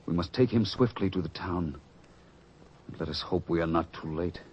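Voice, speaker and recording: A deep-voiced, anxious disciple played by a man in a biblical radio play. He speaks earnestly and formally, in a theatrical manner. The old recording is dull and noisy. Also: normal speed, natural voice. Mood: grave, urgent. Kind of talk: old-time religious radio drama acting.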